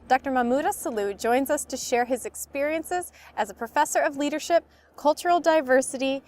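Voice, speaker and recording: A woman announcer reading a formal, scripted introduction to a show. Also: high-pitched. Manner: formal read-aloud delivery.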